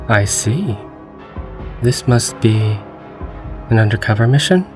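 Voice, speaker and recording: Casting: man as devoted young hero, medium pitch, earnest fantasy drama delivery with prominent music. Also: expressive, slow. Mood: playful, careful. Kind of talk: fan dub drama acting. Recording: music behind the voice.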